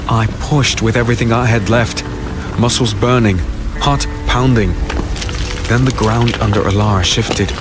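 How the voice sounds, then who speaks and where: A man playing an earnest fantasy hero who narrates his ordeal gravely and dramatically. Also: on the low side, steady tone, moderate pace, close to an everyday voice. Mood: desperate. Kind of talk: scripted dark fantasy audio drama narration. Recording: very loud dramatic music behind.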